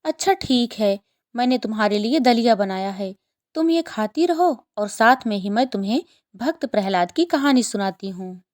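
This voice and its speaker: A woman voicing a gentle mother bird who is soothing and patient, in a children's cartoon story. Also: on the high side, expressive, moderate pace, natural voice. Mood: reassuring.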